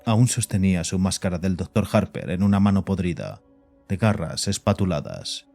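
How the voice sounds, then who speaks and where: A man serves as narrator of horror audio fiction. His voice is low-pitched, reading scripted prose over a faint musical bed. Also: expressive, moderate pace, creaky, dark tone, soft background music.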